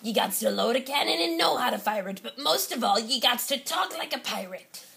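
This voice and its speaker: A woman voicing a gruff, blustering male pirate captain who talks in loud, bossy pirate slang. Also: fairly high pitch, lively intonation, a little fast, full character voice.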